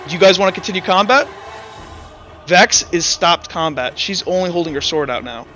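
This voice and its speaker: A man acting as game master, narrating and explaining rules in a halting, self-correcting way. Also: sits high, expressive, sharp tone, highs cut off, soft background music. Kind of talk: improvised tabletop game narration and rules talk.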